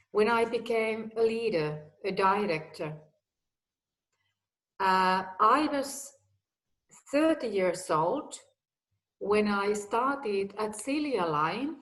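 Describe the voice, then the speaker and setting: A woman in her fifties talks in measured, unhurried English, each phrase placed with care. Her voice sits at an ordinary middle pitch for a woman, and she keeps her sentences simple and direct, as someone who learned the language later. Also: a bit bright, low-quality recording. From Finland. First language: Finnish or Swedish. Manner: slow, deliberate, simple phrasing. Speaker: guest executive. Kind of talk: personal talk.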